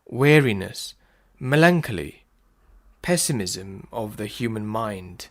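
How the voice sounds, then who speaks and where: Low creaky man reading solemnly. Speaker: reader. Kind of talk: solemn scripted reading of philosophical writings.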